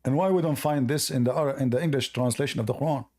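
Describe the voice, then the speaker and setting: A man whose first language is Arabic, hosting a live call-in debate and arguing forcefully while reading from sources. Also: medium pitch, rapid-fire. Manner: forceful, argumentative, repeats himself. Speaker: host of a call-in debate stream.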